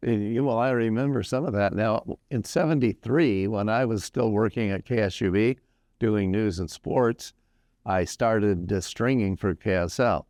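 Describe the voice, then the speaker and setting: Veteran male radio host, low voice, easygoing, close dry mic. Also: normal speed, darkish tone, no echo. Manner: easygoing, laughs often. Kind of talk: casual radio interview.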